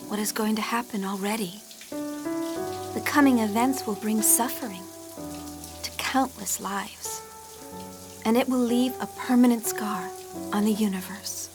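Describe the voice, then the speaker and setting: A woman's voice: mid-range voice, a little slow, pauses often, music in the background, close to an everyday voice. An actress playing an earnest young female scientist in an English-language video game cutscene. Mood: solemn, brooding.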